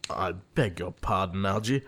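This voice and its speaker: A man playing an earnest young gentleman suitor in a period comedy audio play, formal and easily exasperated. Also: low voice, normal speed. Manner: formal, earnest, fussily indignant.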